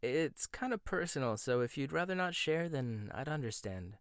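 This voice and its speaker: Soft-spoken man in a dry close-miked roleplay. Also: mid-range voice, lively intonation, clean tone, no room sound.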